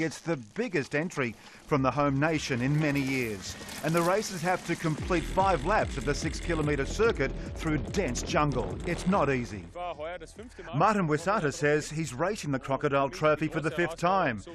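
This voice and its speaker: A man's voice: a little high, normal speed, noisy background. A man giving upbeat, scripted voice-over commentary for a sports documentary. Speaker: race commentator. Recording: music bed under voice.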